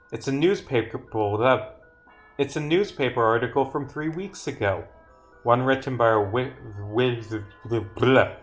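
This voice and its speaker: A man's voice: medium pitch, fairly bright tone. A man thinking aloud on a live game development stream, rambling and muttering as he reads script and corrects himself.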